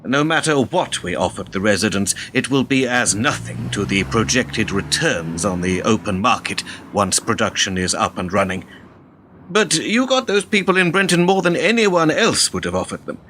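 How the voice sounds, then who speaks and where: An actor playing a calculating, persuasive businessman in a radio drama, speaking in a measured way. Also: fairly low pitch, lively intonation, a bit bright, a little hiss or hum. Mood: confident, calculating. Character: calculating, persuasive businessman handing over his company.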